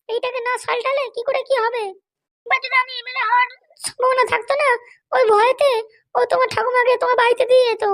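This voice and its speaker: A woman voicing a hot-tempered, foul-mouthed wife who is running for election in a comedy cartoon, with a scolding, threatening manner. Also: extremely high pitch. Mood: fretful, complaining. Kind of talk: amateur comedy cartoon dialogue.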